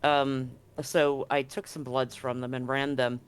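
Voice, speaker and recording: A man's voice: mid-range voice, little pitch movement, measured pace, clear, resonant tone, natural voice. A man playing an anxious, grave doctor in a tabletop game, explaining things clinically and hesitantly. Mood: uneasy, confessing. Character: anxious, grave male doctor at a remote medical facility.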